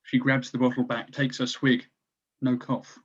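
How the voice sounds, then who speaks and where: Husky male stage-direction narrator. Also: medium pitch, monotone, moderate pace, fairly bright tone, highs cut off. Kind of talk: scripted narration for a table read.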